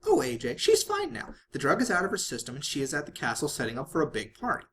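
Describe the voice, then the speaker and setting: A narrator voicing a hyperactive, bubbly and bossy young female cartoon pony in an excitable, playful way. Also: medium pitch, fast talker, slight background noise. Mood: bubbly, upbeat.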